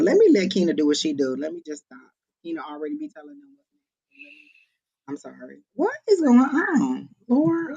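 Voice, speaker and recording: Psychic medium on a live stream: chatty, exclamatory, halting with fillers; mid-pitched, dull lo-fi sound. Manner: expressive, exclaims often, halting with many fillers. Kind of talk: live-streamed reading, casual chat.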